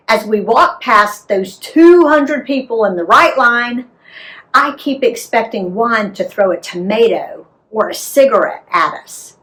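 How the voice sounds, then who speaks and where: An American woman narrating a travel memoir as an author reading aloud, in an expressive, deliberate storytelling manner. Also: fairly high pitch, rough recording. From United States.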